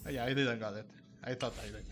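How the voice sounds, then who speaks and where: A man doing casual let's-play commentary, thinking aloud in a halting way. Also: medium pitch, steady tone, a bit bright. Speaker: gaming streamer. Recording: game music behind the voice.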